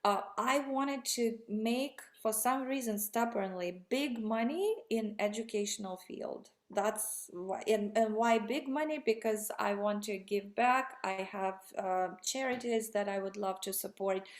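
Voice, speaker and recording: A woman's voice: mid-range voice, unhurried, few pauses. A woman in her thirties, originally from Russia, answering interview questions in a rambling, enthusiastic way with frequent restarts. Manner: rambling, self-correcting, enthusiastic. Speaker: guest. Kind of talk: conversational interview.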